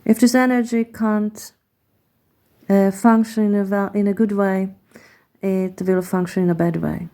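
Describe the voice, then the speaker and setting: A woman with a Hungarian accent, a native Hungarian speaker, gives a slow, reflective tarot card reading, pausing often and searching for words. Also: mid-range voice, fairly even intonation, warm tone. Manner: hesitant, searching for words with many pauses.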